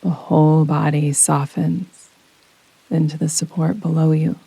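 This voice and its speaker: Female meditation guide: soothing, very even intonation, long unhurried pauses, intimate dry sound with rain behind. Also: low-pitched, gravelly, breathy edge, dry recording, low-quality recording. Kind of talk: guided yoga nidra relaxation meditation. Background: soft rain sounds.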